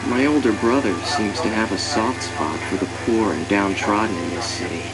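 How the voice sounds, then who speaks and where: An actor playing a loyal, confident soldier who narrates a war game in a plain-spoken, assured and fond way. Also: male voice, on the low side, normal speed, natural voice, low-quality recording. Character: loyal, confident male soldier proud of his crew. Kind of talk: scripted game cutscene narration. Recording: loud music behind the voice.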